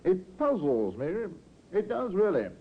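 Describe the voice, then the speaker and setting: A man playing a kindly, concerned family doctor with a husky voice in a 1950s radio drama. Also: high voice, wildly swooping pitch, measured pace, a little hiss or hum, full character voice. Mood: worried. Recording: thin, tinny old radio recording.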